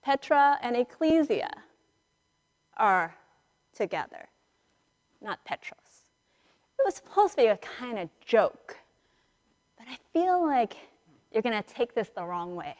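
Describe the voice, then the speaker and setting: A middle-aged woman priest giving a conversational storytelling sermon in a large church, acting out dialogue with dramatic pauses. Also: on the high side, very animated, darkish tone. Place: large church sanctuary, indoors, standing and moving before the altar. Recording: reverberant church hall, picked up in a large space.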